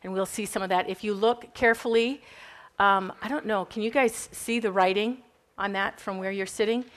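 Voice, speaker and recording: A woman's voice: medium pitch, fairly bright tone. A woman with a Minnesota accent teaching church history to a class in a chatty, informal way, full of fillers and asides. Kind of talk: classroom lecture. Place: classroom with a live group.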